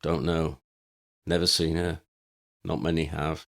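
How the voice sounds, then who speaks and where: A male narrator plays a drugged conspirator in an audiobook, half asleep and compelled to answer. He speaks low, in a monotone, with short clipped phrases. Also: a little slow, creaky, heavily acted. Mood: dazed.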